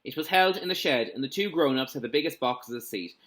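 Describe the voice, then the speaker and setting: A man reading a children's story aloud like a teacher at storytime, in an animated, expressive way. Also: mid-range voice, fast talker.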